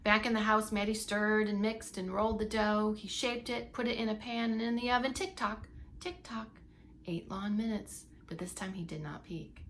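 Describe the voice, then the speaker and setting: A woman reading a picture book aloud to young children as a preschool teacher, expressive and emphatic, with little asides to her listeners. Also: mid-range voice, normal speed, slight background noise. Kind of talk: expressive storybook read-along for young children.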